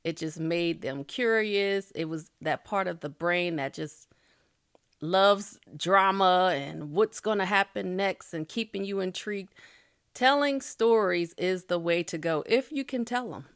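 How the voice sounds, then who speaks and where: A woman's voice: lowish voice, a little slow, clear, resonant tone, no echo, clean studio sound. A middle-aged woman giving YouTube tips in a casual, chatty way, with light laughs and loose restarts. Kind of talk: casual spoken tutorial.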